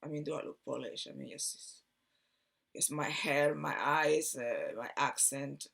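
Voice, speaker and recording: Creaky-voiced Spanish woman telling her story. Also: low-pitched, a bit bright. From Barcelona, Spain. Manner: halting, with repeated words and trailing thoughts. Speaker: video host telling personal story. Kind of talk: rambling personal storytelling to camera.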